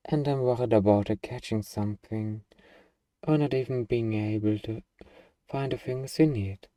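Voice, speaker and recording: A man playing a gruff, defensive young zombie-apocalypse survivor with anxiety underneath, in an ASMR roleplay. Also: medium pitch, moderate pace, warm tone, dry recording, distant mic, natural voice. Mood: anxious, hesitant.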